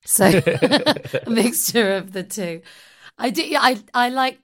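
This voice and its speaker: Woman with a slightly croaky, highish voice, giggly casual podcast chat over a video call. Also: animated, slow pace. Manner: giggly, playful. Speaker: podcast co-host.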